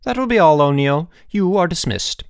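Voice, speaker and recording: A man's voice: on the low side, expressive, gravelly, no room sound, studio quality. A man voicing a stern, sarcastic headmaster who scolds in snapping, emphatic phrases for an audiobook. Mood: curt sentencing. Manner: snapping, clipped commands, drawn-out emphasis.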